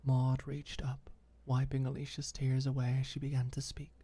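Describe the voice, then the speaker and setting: A woman reading scripted ASMR audiobook fiction softly and intimately, with deliberate pauses. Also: a bass voice, completely flat delivery, slightly rough. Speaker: audiobook narrator.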